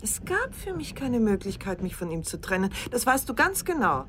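An actress playing a seductive, teasing middle-aged newlywed in a radio drama, worldly and unrepentant, with an ironic, coquettish way of talking. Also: female voice, fairly high pitch, very animated, moderate pace, a bit bright, slight background noise. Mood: defiant, ironic.

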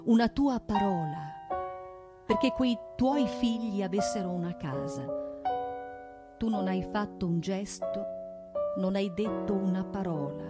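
Donna che recita un monologo con pianoforte. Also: female voice, medium pitch. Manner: declamatory, deliberate, emphatic with dramatic pauses. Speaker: stage narrator and performer. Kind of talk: theatrical dramatic monologue with piano. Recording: piano music accompaniment. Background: live piano.

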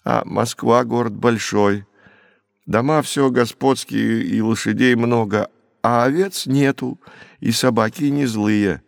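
A man's voice: mid-range voice, gravelly, no room sound, studio quality. A man voicing a naive orphaned peasant boy in an audiobook, pleading in plaintive, rustic folk speech.

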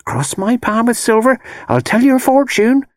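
A man's voice: extremely high pitch, big pitch swings, a little slow, no echo, studio quality, voice pushed up, exaggerated voice. A man voicing a malicious, wheedling old woman who tells fortunes, with rustic dialect speech and ominous insistence, in an audiobook. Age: older. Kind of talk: dramatised audiobook character voice.

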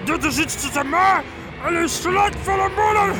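Raging betrayed husband, very high voice, pompous, cursing, melodramatic radio play, loud music. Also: male voice, moderate pace. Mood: vengeful. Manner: ranting, melodramatic, coarse dialect. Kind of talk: radio horror comedy drama.

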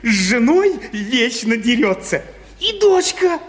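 Very high-pitched, fussy, gossipy clerk. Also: male voice, very animated, slow pace, exaggerated voice. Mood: gleeful, mocking. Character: fussy, moralizing, gossipy male petty official. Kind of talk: theatrical radio play acting. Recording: reverberant hall-like studio.

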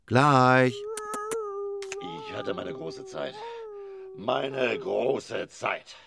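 An actor in a radio drama playing a weary old theatre man facing his end, with a halting, theatrical delivery. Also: medium pitch, huge pitch swings, creaky, background noise, full character voice. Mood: bitter, theatrical.

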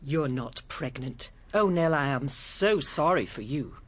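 An actress playing a direct, protective, sharp-tongued English woman in a radio drama. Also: low voice, expressive, unhurried, slightly rough, heavily acted. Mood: scornful.

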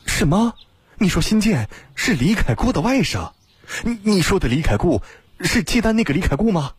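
A man's voice: on the low side, lively intonation, quick pace, husky, no echo. A man voicing a loyal, indignant young male friend in an audiobook story. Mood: shocked.

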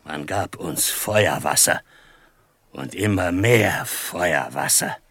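An actor playing a proud, solemn chief held prisoner in a western radio drama, speaking formally and with measured gravity. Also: male voice, deep voice, animated, a bit bright, slightly rough, dry recording, heavily acted. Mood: grave, bitter. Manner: formal, measured, speaks of himself in third person.

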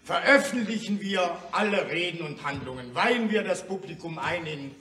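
A fervent, principled revolutionary orator in a radio drama. He speaks emphatically in a bright, animated voice that rises and falls widely. The sound is distant, ringing in an echoey hall. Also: high voice, normal speed. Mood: earnest. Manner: rhetorical, emphatic. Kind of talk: theatrical radio play oratory.

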